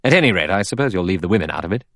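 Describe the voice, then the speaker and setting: A man voicing a younger male character in an audiobook, cynical and irritable, with a quick, offhand, argumentative delivery. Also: low-pitched, expressive, fairly bright tone, dry recording, clean studio sound.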